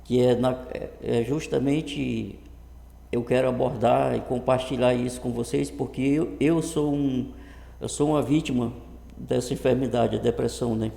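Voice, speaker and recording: A man in his early fifties with a northern Brazilian accent, talking casually and haltingly to camera, often repeating words, in an echoey improvised room. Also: mid-range voice, steady tone, gravelly, warm tone. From Brazil, Rondônia. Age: early 50s. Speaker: vlogger introducing his channel. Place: improvised untreated home room. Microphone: computer webcam setup.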